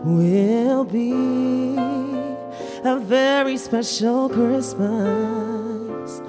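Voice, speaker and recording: A woman from Ireland sings a soulful Christmas song live outdoors, stretching her lines slowly with vocal runs. A loud live guitar band plays behind her. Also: on the high side. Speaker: singer. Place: outdoor street stage.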